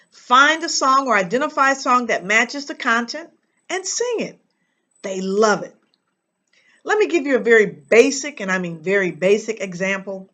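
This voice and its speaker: An American woman giving an instructional talk as a reading teacher and host, speaking emphatically and deliberately with dramatic pauses. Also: medium pitch, a bit bright.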